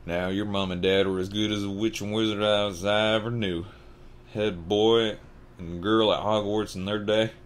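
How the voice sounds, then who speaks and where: Man doing a gruff, kindly Southern giant. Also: lowish voice, fairly even intonation, close to an everyday voice. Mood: solemn. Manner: halting, folksy, dropped consonants.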